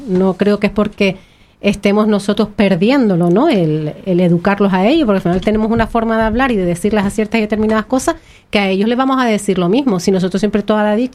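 A woman talks fast at an even, middle pitch. She argues her point steadily as a guest on a panel. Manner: fast, argumentative. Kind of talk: casual panel chat.

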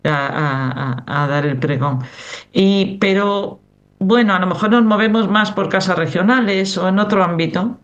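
A woman's voice: mid-range voice, moderate pace, muffled recording. A middle-aged woman from Cantabria with a strong Cantabrian accent, a choir member chatting loosely as an interview guest. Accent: Cantabrian Spanish. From Cantabria, Spain. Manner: rambling, conversational. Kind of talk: casual interview chat.

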